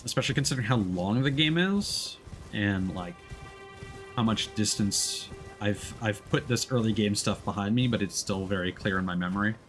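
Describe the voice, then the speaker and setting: A man with a fairly low voice streams a video game with casual live commentary. He thinks aloud, pausing and hesitating as he goes. Faint game music plays behind him. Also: fairly bright tone.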